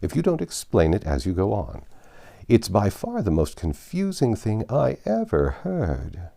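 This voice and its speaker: A melancholy storybook turtle, played by a man, ponderous and plaintive. The pitch slides widely up and down, with a gravelly edge to the deliberate delivery. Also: wildly swooping pitch, dark tone, heavily acted. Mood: complaining. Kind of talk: storybook character voice.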